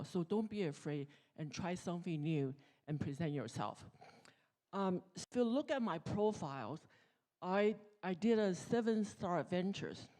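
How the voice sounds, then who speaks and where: A woman from Taiwan giving an informal conference presentation, speaking candidly in a halting way. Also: lowish voice, mellow, poor audio. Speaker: tech executive and guest speaker. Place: conference stage.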